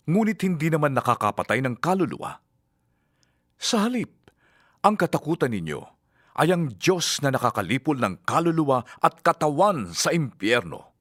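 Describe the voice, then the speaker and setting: A man's voice: on the high side, no echo, clean studio sound. A man playing an earnest male religious teacher solemnly instructing his disciples in a dramatized scripture reading. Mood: earnest, reassuring. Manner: solemn, measured, exhortatory.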